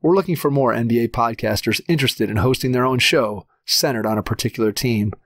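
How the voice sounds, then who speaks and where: A man with a mid-range voice, recorded dry and close, hosts a basketball podcast. He reads his intros and promos crisply, like an announcer, then moves into friendly interview questions. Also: medium pitch, brisk, no echo. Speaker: podcast host.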